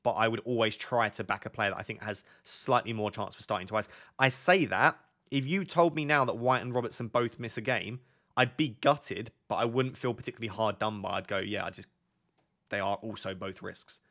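A man in his thirties with a sore, fading voice, reading viewer questions and thinking aloud on a live stream from a home desk. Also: lowish voice. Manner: thinks aloud, reads questions from chat, talks quieter and slower. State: losing his voice, sore throat. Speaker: fantasy football streamer. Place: indoor home studio at a desk.

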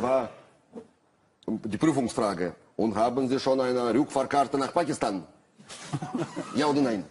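A man's voice: medium pitch, darkish tone, slight background noise. Hesitant man with a Russian accent. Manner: hesitant, many fillers, dry humor. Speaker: guest writer. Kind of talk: talk show conversation. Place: TV studio with audience.

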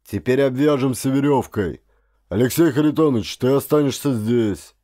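A man's voice: medium pitch, moderate pace, mellow, dry recording, clean studio sound, pitched above the performer's own voice, lightly acted. A man voicing a serious professor father who leads an expedition with firm, short commands, in an audiobook. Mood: firm, commanding.

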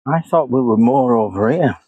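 A man's voice: mid-range voice, expressive, normal speed, mellow, slightly rough, dry recording, muffled recording. A man, walking through woodland and retracing his steps aloud in a casual vlog. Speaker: paranormal investigator. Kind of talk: casual walk-and-talk vlog. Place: woodland outdoors. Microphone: clip-on lapel mic.

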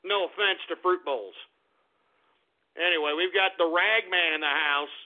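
A man hosting an internet talk radio show, ranting in a loud, profane, exasperated way, with sudden shouted outbursts. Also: high voice, moderate pace. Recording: tinny phone-like lo-fi audio.

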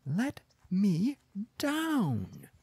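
A man's voice: high-pitched, wildly swooping pitch, extremely slow, warm tone, breathy edge, no room sound, voice pushed up, full character voice. A man voicing a small, furious, scolding wife in an audiobook, wailing and reproachful.

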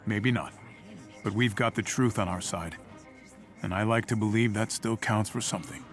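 A man acting a steadfast superhero leader in a video game. He sounds earnest and measured, rallying people with idealism over faint music. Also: fairly low pitch, natural voice. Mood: earnest resolve.

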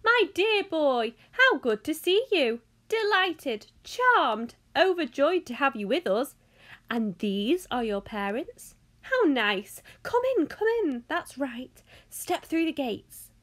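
A woman voicing an effusive, excitable old male factory owner in a high, fluty voice for a storybook. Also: unhurried. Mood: effusive delight. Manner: gushing, exclamatory, polite.

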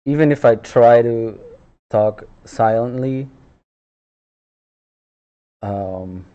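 Slow-talking man streaming with a headset. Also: medium pitch. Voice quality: loud voice. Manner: relaxed, chuckling asides. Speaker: live streamer. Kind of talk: casual chat while drawing on stream. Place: small office room, indoors. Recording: dull lo-fi stream audio.

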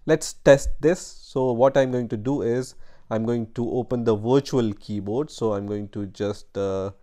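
A man narrating a step-by-step computer tutorial over a screen recording, explaining plainly with frequent pauses and "right?" checks. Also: medium pitch, warm tone. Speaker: tutorial host.

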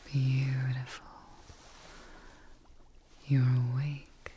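A young woman playing a devoted, tired scientist tending her creation in a breathy, gentle whispered ASMR roleplay, close to the mic. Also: a bass voice, completely flat delivery, slight background noise, full character voice. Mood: tender, awed. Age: young adult. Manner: slow, breathy, gentle, pausing. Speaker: ASMR performer. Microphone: close ASMR microphone.

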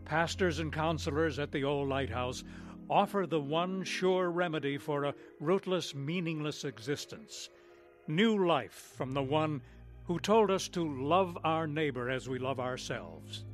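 A man narrating a scripted radio drama in a measured, solemn, theatrical old-time announcer manner. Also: on the high side, lively intonation, faint music behind.

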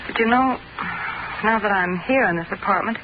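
A woman's voice: mid-range voice, moderate pace, close to an everyday voice. An actress playing a practical, anxious young female companion to a detective, in old-time radio style. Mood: uneasy. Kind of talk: 1940s radio acting. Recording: muffled, noisy old-time radio recording.

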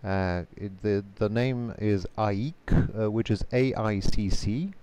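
A man whose first language is Polish answers interview questions carefully over a video call, pausing often with fillers. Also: on the low side, dark tone, clear, resonant tone. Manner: measured, careful, frequent hesitation fillers. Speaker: guest, conference interpreter.

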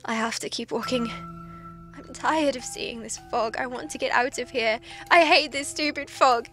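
Actress as undead girl logging her lonely days, high voice, hesitant, soft music under it. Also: normal speed. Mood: desperate. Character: lonely undead woman, lovelorn, forgetful, desperate. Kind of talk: audio drama log monologue.

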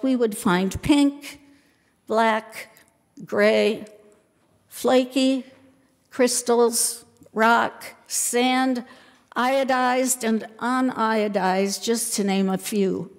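An older woman preaches a sermon at an unhurried pace, leaning on careful lists and pauses. Her voice sits a little high. The sound rings with the long reverb of a church sanctuary. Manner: slow, measured preaching with deliberate lists. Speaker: pastor.

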